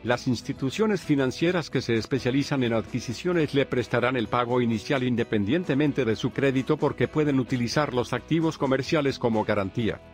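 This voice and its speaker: A man with a mid-pitched voice reads a scripted voice-over in a steady, formal way, counting off each point. A faint guitar plays under him. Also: fairly quick, hardly any pauses. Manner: even, formal reading of numbered tips. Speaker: narrator. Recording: faint guitar music behind.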